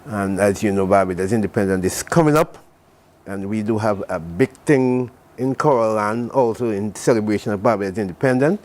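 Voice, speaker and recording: A man's voice: lowish voice, brisk, a little mellow. An older man, an association president and studio guest on a morning TV show, speaking earnestly and repeating his thanks. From Saint Vincent. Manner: earnest, thankful, repetitive phrasing. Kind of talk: morning TV interview.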